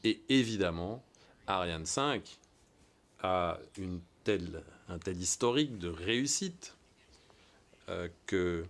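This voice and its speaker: A man's voice: low-pitched, animated, slow, pauses often. Enthusiastic man commentating a rocket launch. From United States. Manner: enthusiastic, conversational, many hesitations. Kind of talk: live event commentary.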